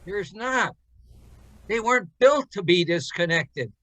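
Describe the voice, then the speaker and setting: Man acting a high, sharp-voiced, glum workmate. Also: expressive, slow, no echo, heavily acted. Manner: gloomy, wisecracking. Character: glum, sardonic male co-worker at wits' end. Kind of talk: old-time radio drama acting.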